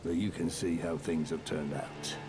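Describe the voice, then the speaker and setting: Guy doing a low gravelly old-world castle commander, solemn and dutiful, fantasy game, loud music behind. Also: steady tone, normal speed, lightly acted. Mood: weary, bitter. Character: grave, dutiful castle warden and grieving father. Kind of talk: scripted fantasy game dialogue.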